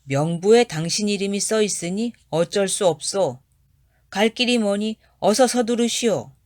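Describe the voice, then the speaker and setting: A woman's voice: medium pitch, a little slow, a little mellow, voice pushed up, lightly acted. A woman voicing a stern, expressionless male grim reaper in a storybook tale, speaking curtly and firmly. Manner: curt, firm and unmoved.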